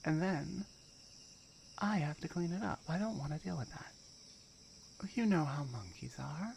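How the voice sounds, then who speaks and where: Low, firm tiger girl, voiced by a woman. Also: expressive, a little slow, creaky, a little mellow, slight background noise, exaggerated voice. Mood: wry, matter-of-fact. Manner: firm, wry, matter-of-fact. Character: firm, dominant tiger girl guarding her jungle territory. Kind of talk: ASMR roleplay monologue.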